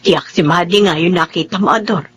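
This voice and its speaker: A woman's voice: lowish voice, brisk, a little hiss or hum, close to an everyday voice. A woman acting a regretful, worried, pious older woman in a Filipino radio drama, sighing and earnest.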